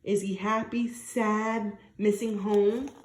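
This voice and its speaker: A woman teacher reading a story aloud to young children, expressive and coaxing, often asking questions and stressing key words. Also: medium pitch, slow, a little hiss or hum. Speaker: primary school teacher.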